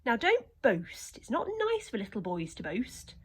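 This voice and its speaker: A woman voicing a prim, fussy elderly lady who scolds in a drawn-out way. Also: a little high, huge pitch swings, slightly rough, voice pushed up, heavily acted.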